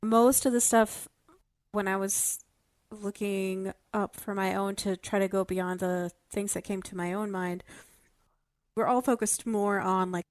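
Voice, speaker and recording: A woman's voice: mid-range voice, fairly even intonation, measured pace, a little mellow, dry recording. A woman podcast co-host with a mid-range voice, recorded very dry. She explains things in casual discussion. Wry little asides color her delivery.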